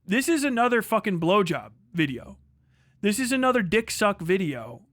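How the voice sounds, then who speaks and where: Male streamer, high-voiced, dry close sound. Profane, argumentative reaction commentary, emphatic and repetitive. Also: sing-song, normal speed, a bit bright, no room sound. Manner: profane, combative, ranting. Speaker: livestreamer reacting to a video. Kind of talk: heated off-the-cuff commentary.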